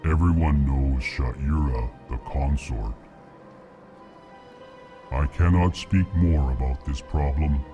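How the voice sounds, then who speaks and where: Game role: solemn alien diplomat, extremely low voice, very slow monotone delivery, formal, music behind. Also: male voice, lo-fi sound. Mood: solemn, aggrieved. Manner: monotone, announces own emotion before sentences. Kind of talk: video game dialogue acting.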